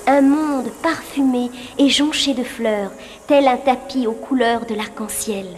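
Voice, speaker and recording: High, slow-speaking moon-spirit princess played by a woman. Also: darkish tone, faint music behind, close to an everyday voice. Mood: dreamy. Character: gentle, sorrowful young moon-spirit princess, captive, dreamy, fearful. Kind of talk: fairy-tale cartoon acting.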